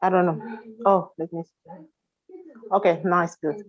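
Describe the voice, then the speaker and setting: A woman from Cameroon on a video call, telling her story in a halting way with many restarts. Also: lowish voice, fairly even intonation. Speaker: guest. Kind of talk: casual chat. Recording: dull lo-fi call audio.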